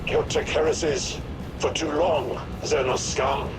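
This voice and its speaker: A man playing a cold, contemptuous military zealot in a video game, stern and deliberate. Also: a little high, fairly even intonation. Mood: cold contempt. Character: cold, contemptuous male human military zealot threatening aliens. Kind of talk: video game character acting. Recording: very noisy, aircraft roar behind. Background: aircraft and vehicle noise.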